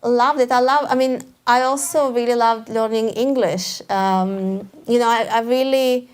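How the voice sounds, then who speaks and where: A woman's voice: on the high side, steady tone, unhurried, smooth. A woman with a Russian accent, an author speaking at a live talk, hesitant, restarting often with small laughs. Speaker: author guest. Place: event hall before a live audience.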